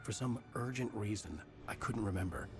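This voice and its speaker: An actor playing a brooding male novelist who narrates his own nightmare in a measured, somber voice over game music. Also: on the low side, expressive. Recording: game audio with music behind.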